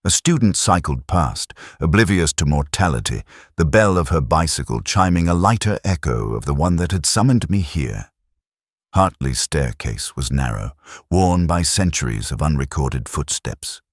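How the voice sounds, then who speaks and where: A man narrating a scripted first-person detective story in a measured, literary, world-weary manner. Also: rumbling low, lively intonation, no echo, clean studio sound.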